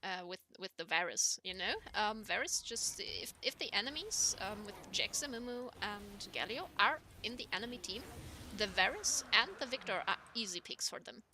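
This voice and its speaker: A young woman with a squeaky voice, casting a live game in a chatty way with lots of ums and laughs. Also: lively intonation, moderate pace, bright, forceful tone, a little hiss or hum. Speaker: esports caster.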